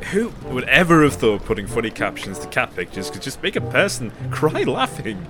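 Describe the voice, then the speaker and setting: Actor as friendly, playful love interest, young man, hugely lively intonation, music behind. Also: medium pitch, normal speed. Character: charming, easygoing, friendly young man who likes cat jokes. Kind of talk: audio drama acting, romantic comedy.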